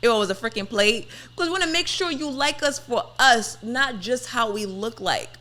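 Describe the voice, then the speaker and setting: A woman's voice: on the high side, lively intonation, normal speed, pushed and bright. Twenty-something woman on a podcast, pushy and challenging, keeps hammering her point. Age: 20s. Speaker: podcast host. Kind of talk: casual debate chat.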